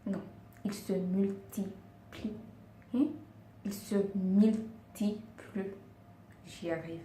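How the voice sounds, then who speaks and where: A woman's voice: medium pitch, steady tone, drawn-out words, mellow. A woman giving earnest, coaxing beauty advice in a casual vlog, speaking a second language and sometimes searching for words. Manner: earnest, coaxing, often addresses her viewers as girls. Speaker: beauty and lifestyle vlogger. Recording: roomy echo, distant mic, faint background hiss.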